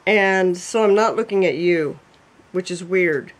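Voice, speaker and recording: A man's voice: high-pitched, measured pace. A man hosting his own home show, chatting casually and self-deprecatingly over a video call, laughing often. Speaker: cooking show host. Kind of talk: casual chat. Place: home room by a fireplace.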